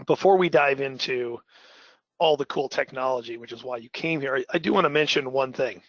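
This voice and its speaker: Raspy-voiced man presenting a tech webinar. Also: medium pitch, a little fast, no echo, highs cut off. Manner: upbeat, conversational presenter. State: raspy from a cold. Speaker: technical trainer.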